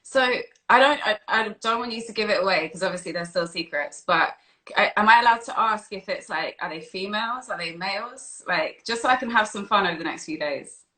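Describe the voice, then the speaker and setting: A woman's voice: mid-range voice, a little fast, sharp tone, roomy sound. A woman hosting a casual live-stream interview, asking chatty, informal questions. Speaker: interviewer, host. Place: live-streamed video call.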